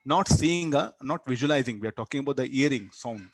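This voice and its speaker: A man's voice: medium pitch, lively intonation, fairly quick, dry recording, poor audio. South Indian man teaching in a dry-sounding space. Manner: repeats words and phrases, tags sentences with questioning "no?". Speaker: physics teacher. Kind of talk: interactive classroom lecture.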